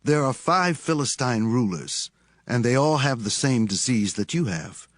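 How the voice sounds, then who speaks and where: A man playing a pagan priest who gravely instructs his rulers in a dramatized audio Bible. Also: medium pitch, expressive, no echo, lightly acted. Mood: grave, advising. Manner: measured, instructing.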